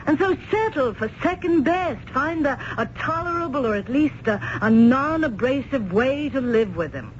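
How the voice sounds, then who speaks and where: A woman's voice: high voice, expressive, normal speed, hardly any pauses. An actress playing an older aunt who talks her idle nephew's case with a coaxing, world-weary manner, in a 1970s radio drama. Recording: lo-fi vintage radio recording, faint hiss.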